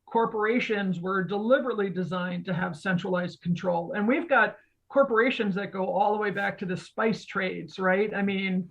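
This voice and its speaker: A woman explaining technology conversationally as an expert guest, in a didactic way that often checks in with the listener. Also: mid-range voice. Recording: dull, lo-fi audio.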